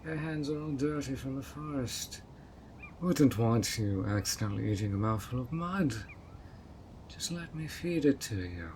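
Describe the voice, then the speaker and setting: A man's voice: medium pitch, expressive, moderate pace, darkish tone, a little hiss or hum, natural voice. A man voicing a sweet but obsessive male fairy who coaxes a guest in an audio roleplay, with a honeyed, playfully sly manner. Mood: sweetly scheming. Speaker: fairy host of a tea party.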